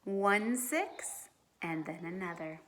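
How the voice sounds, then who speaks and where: A woman's voice: lowish voice, slow, a bit bright, slight background noise. A woman reading a children's storybook aloud like a teacher, expressively and with dramatic emphasis.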